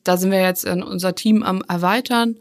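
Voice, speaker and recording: A woman's voice: medium pitch, steady tone, normal speed. A woman from a hospital nursing team answering interview questions in a casual, plain-spoken way. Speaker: nurse team member.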